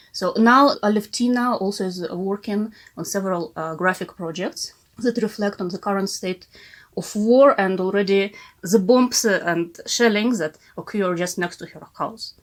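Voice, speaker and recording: A young Ukrainian woman with a Ukrainian accent is interviewed over a remote call. She is a native Russian speaker and searches for words, with many "uh" fillers and restarts. Her voice sits at a middle pitch. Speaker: guest, art historian and curator.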